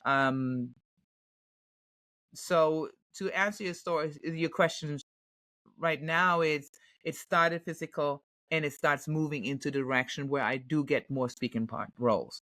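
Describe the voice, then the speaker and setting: A woman with a German accent talks through an interview answer in an easy, chatty way. She pauses with fillers and restarts her sentences often. The recording is dry and close, with no room sound. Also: deep voice, a bit bright, dry recording. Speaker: actress, podcast guest.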